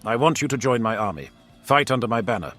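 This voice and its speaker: A man's voice: medium pitch, expressive, quick pace, a bit bright, dry recording, faint music behind, lightly acted. A man voicing a jovial, scheming ruler who declaims grandly to his court in a fantasy audiobook. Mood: demanding, scornful. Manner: declamatory, theatrical.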